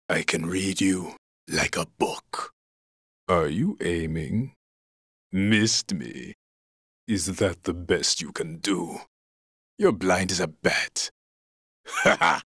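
An actor playing a cold, mocking boss enemy in a video game, delivering menacing taunts. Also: male voice, sits low, animated, slow, gravelly, pauses often, a bit bright, slightly rough, no room sound, clean studio sound, full character voice.

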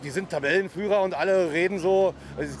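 A man speaks quickly in a fairly high voice, outdoors near a kiosk. He restarts his sentences as he chats about his club. Music plays under the interview. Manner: fast, rambling with restarts. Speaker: football fan. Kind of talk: casual interview.

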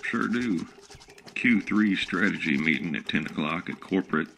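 A man's voice: sits low, moderate pace, creaky, a bit bright, slight background noise. An actor playing a folksy smart home assistant with a country singer's persona, who keeps boasting about his own titles. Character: folksy country-singer smart speaker, male, middle-aged, self-promoting. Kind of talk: comic radio play.

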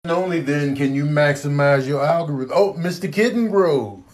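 A man's voice: a little high, voice pushed up, lightly acted. Man as a pompous, drawling animal lecturer. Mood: pompous, teasing. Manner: drawn-out, emphatic, lecturing. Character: rambling pompous male animal lecturer, easily distracted.

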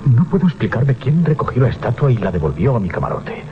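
Wildly swooping, haunted sea captain. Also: male voice, medium pitch, sing-song, fast, loud music behind the voice. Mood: grim resolve. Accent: Spain. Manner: intense, theatrical. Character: haunted, fearful sea captain, aging under a curse. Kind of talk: 1980s Spanish radio drama acting. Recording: muffled 1980s radio drama recording.

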